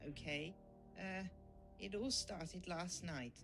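A woman's voice: on the low side, slow. A woman voicing a grumpy young male tank engine in a cartoon. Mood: weary. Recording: echoey hall reverb, music behind.

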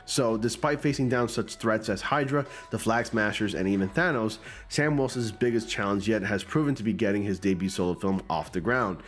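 A man in his forties talks close into a studio microphone in a small home room, his voice a little stuffy from a cold. He sits on the lower side of male pitch and moves between reading news copy aloud and chatty asides to his viewers. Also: fairly quick. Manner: conversational, reads news text aloud with asides. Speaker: YouTube news commentator. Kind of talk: casual commentary reading an article. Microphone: large studio mic close in front.

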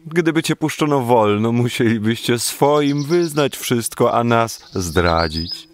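An actor playing a humble, simple beekeeper elder with a modest, grave manner in a radio drama. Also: male voice, medium pitch, animated, takes it slowly, a little hiss or hum. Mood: firm. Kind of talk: illustrated radio drama acting.

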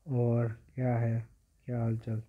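A man's voice: medium pitch, completely flat delivery, unhurried, slight background noise. Man doing gameplay commentary, dull lo-fi sound. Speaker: gaming YouTuber.

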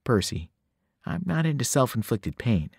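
A man voicing a guarded, blunt teenage girl who speaks coolly and quietly. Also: mid-range voice, very animated, moderate pace, vocal fry, husky, no echo, studio quality, exaggerated voice. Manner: cool, clipped, quiet.

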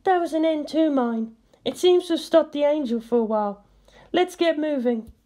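A woman voicing a playful, impish female soldier in an audio story, with a lively manner. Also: sits high, normal speed, pitched above the performer's own voice, natural voice. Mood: businesslike. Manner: teasing, lively.

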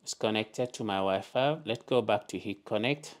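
A man hosting a step-by-step tech tutorial, talking through each setting in plain, deliberate instructions at a desk indoors. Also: fairly low pitch. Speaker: tutorial host.

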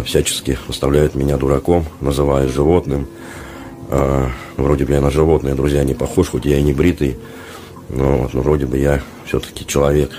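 A man with an extremely low, flat voice talks casually to his vlog viewers. He is sick with a sore throat and his voice is worn out, so he speaks in halting phrases. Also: a little hiss or hum. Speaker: vlogger. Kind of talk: casual vlog talk to camera. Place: small dormitory room, indoors. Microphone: handheld phone at arm's length.

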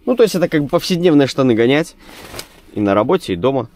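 A man who drives a truck, chatting to his viewers in a relaxed, rambling vlog style. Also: medium pitch, fast talker. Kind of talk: casual vlog chat. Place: truck cab and roadside.

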